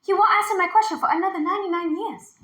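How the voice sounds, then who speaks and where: A woman's voice: very high-pitched, fast talker, a bit bright, roomy sound, pitched above the performer's own voice. A woman reading a storybook as a brave, kind young village girl, speaking earnestly. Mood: subdued, accepting.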